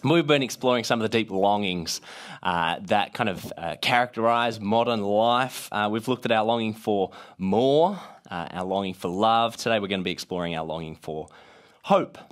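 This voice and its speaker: A man with a gravelly voice from being a bit sick, leading a casual talk to a group with many "uh" fillers. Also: on the low side, normal speed. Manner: many "uh" fillers, lively rising emphasis.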